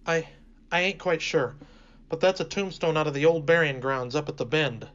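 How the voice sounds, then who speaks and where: A man's voice: fairly high pitch, moderate pace, fairly bright tone, lo-fi sound, close to an everyday voice. A man playing a frightened, superstitious old country fellow in a horror radio drama, speaking in a folksy, hesitant way. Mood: fearful, awed.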